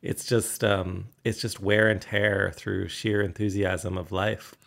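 Creaky, soft-spoken man in his forties. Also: deep voice, level tone, takes it slowly. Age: 40s. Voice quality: creaky vocal fry, soft whispery tones; nasal. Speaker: podcast co-host. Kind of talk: casual podcast chat. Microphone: USB condenser mic.

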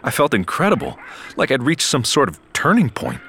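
A man's voice: mid-range voice, huge pitch swings, brisk, creaky, fairly bright tone, slight background noise, full character voice. A man acting as a frank young narrator who tells his own story casually in an audio drama, with some swearing. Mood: frank, reflective. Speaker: narrator and main character.

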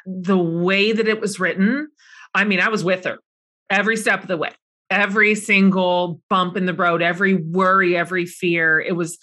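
A woman's voice: fairly low pitch, fairly even intonation, moderate pace, bright, forceful tone. A middle-aged woman hosting a book club interview, asking long, enthusiastic questions that linger over her words. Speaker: podcast host.

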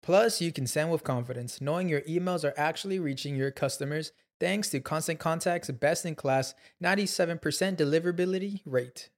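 Guy doing a polished upbeat ad read, slightly higher voice. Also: normal speed, hardly any pauses. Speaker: ad announcer. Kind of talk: scripted ad read.

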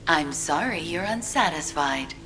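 A woman playing a polite, obedient AI assistant in an audio drama, speaking in formal, measured sentences. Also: sits low, animated, bright, forceful tone, faint music behind, heavily acted. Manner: formal, measured, matter-of-fact.

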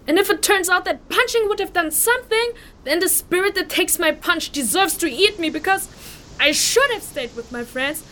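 A high-pitched young refugee woman in a fantasy audio drama. She is earnest and stubborn, weighed down by guilt, and speaks haltingly with feeling. There is noticeable noise and rumble behind her. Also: expressive, sharp tone, heavily acted. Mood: anguished, defiant.

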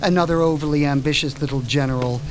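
An actor playing a cultured, coldly curious mad doctor in a radio drama, fussy and chillingly detached. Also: male voice, fairly high pitch, fast talker, lightly acted, low-quality recording. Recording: music and rain behind.

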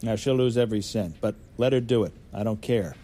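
A man's voice: on the low side, a little fast, warm tone, close to an everyday voice. An actor playing a dismissive, scheming male colleague who sneers at a rival. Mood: scornful.

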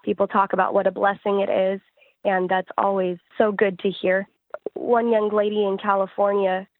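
A woman answers interview questions as a singer-songwriter in a conversational way. Her pitch moves very little, staying even from phrase to phrase. The audio is narrow and phone-like, with a dry sound. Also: no room sound.

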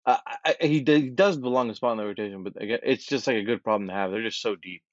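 Young male podcast co-host, lowish voice, hesitant and easygoing, dull dry recording. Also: quick pace, dry recording. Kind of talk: casual sports podcast chat.

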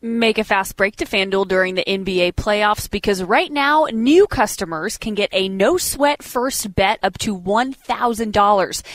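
A woman's voice: medium pitch, no room sound. A woman hosting sports talk radio, giving commentary and sponsor reads.

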